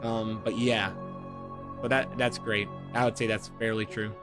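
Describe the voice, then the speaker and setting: A man's voice: lowish voice, level tone, a little slow. Rambling young man over music. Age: 20s. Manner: rambling, many fillers and repeated words, self-deprecating. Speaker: gaming streamer. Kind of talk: casual chat, reading aloud and commenting. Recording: music playing underneath.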